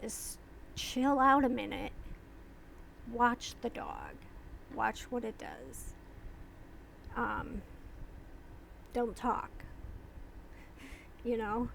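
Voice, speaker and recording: A woman vlogger talking casually and slowly, rambling with pauses and restarts. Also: fairly high pitch, steady tone, creaky, fairly bright tone, slight background noise, rough recording. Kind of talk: casual talk to camera.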